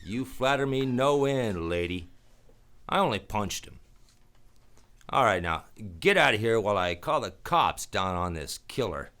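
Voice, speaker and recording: A man's voice: on the low side, expressive, measured pace, fairly bright tone, clean tone. A man playing a wry, world-weary private detective in a noir radio play, terse and sardonic. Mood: wry relief. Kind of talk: radio noir acting with first-person narration. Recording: radio play over guitar music.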